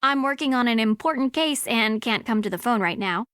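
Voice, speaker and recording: A woman's voice: on the high side, animated, brisk, a bit bright, smooth, dry recording, studio quality. An actress playing a self-important woman private investigator in a video game, businesslike in manner.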